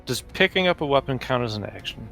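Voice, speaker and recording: A man's voice: medium pitch, expressive, quick pace, vocal fry, a bit bright, soft background music. A man chatting casually as a player in a tabletop roleplaying game.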